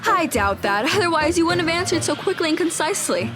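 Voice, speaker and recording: A high, girlish voice from a woman plays a nosy young ghost hunter, excitable and quick to argue. It is audio drama acting, with music clearly behind. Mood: indignant. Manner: inquisitive, argumentative.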